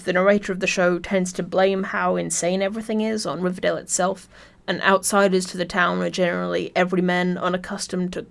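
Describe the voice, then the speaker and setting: A woman's voice: lowish voice, gravelly. Woman narrating a wry video essay. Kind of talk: scripted video essay narration with casual humour.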